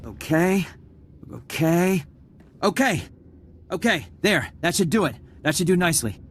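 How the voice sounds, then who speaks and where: A slightly high-pitched nervous young-sounding tech guy from a sci-fi video game. He stammers and mutters to himself, eager and easily flustered. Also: animated, unhurried, slight background noise. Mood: focused. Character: nervous, eager male computer slicer.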